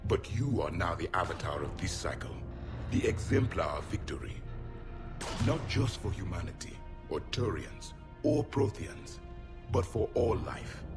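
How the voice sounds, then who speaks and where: Game role: grave ancient alien warrior, low-voiced, formal and declamatory, over loud music. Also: male voice, big pitch swings, a little slow. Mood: solemn, stirring. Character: solemn ancient male alien warrior, last of his people. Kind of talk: video game dramatic acting.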